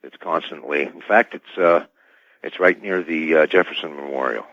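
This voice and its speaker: An American man speaking as an expert guest in a radio interview, with a halting, hedging delivery. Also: rumbling low, dry recording. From United States. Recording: dull band-limited radio line.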